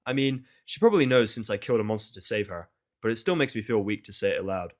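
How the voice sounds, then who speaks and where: A man's voice: on the low side, lively intonation, fast talker. A man reading scripted first-person narration for an audio drama.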